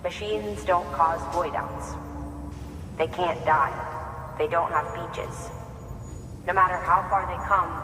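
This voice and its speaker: A calm young actress as a woman engineer in a game cutscene, explaining things matter-of-factly. Her voice rings in a reverberant hall, with music underneath. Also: fairly low pitch, natural voice. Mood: grave, reflective. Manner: matter-of-fact, instructional. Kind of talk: naturalistic video game cutscene acting. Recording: echoey hall, music behind.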